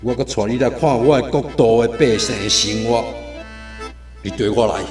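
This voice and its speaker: An actor with a Taiwanese accent playing a domineering king of a dark realm in a radio drama, speaking in a commanding, oratorical way. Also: mid-range voice, moderate pace, natural voice. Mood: grandly tempting. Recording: echoey studio with music behind.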